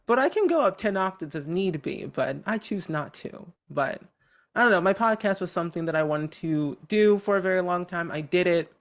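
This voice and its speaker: An upbeat, talkative content creator chatting casually as a podcast guest, with a naturally high voice that has a medium tone. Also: expressive, normal speed. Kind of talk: casual interview chat.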